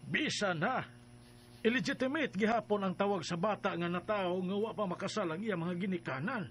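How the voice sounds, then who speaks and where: A man's voice: high voice, fairly quick, fairly bright tone, slight background noise. A man acting an earnest family man in a radio drama, explaining things with emphasis. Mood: concerned.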